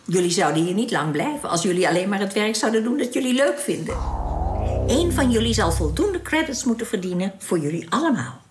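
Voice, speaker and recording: Actress as composed alien guide, lively intonation. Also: female voice, mid-range voice, fairly quick, background noise, natural voice. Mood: composed, firm. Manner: formal, explanatory, matter-of-fact. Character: composed, firm female alien guide to stranded spacemen. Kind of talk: radio drama acting.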